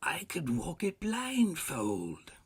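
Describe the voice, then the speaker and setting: A man voicing a fervent, emotional old man in a storybook, with a breathy, raised voice. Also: fairly high pitch, wildly swooping pitch, takes it slowly, pitched above the performer's own voice, exaggerated voice. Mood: amazed, fervent. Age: older. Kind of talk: dramatic storybook character reading.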